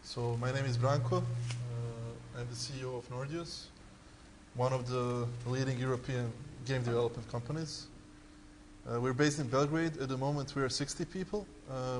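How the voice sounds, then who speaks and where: A man's voice: mid-range voice, steady tone, normal speed. A man with a Serbian accent introducing his company on a panel, speaking fluently but with frequent "uh" fillers and restarts, recorded in a conference hall. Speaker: tech company CEO on a panel. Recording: hall reverb, faint background noise.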